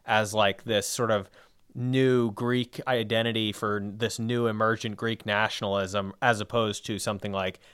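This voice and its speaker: A man's voice: fairly low pitch, flat delivery, unhurried, a bit bright, clear, resonant tone. A young man co-hosting a discussion podcast, explaining at length in a rambling way with lots of fillers and asides. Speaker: podcast co-host.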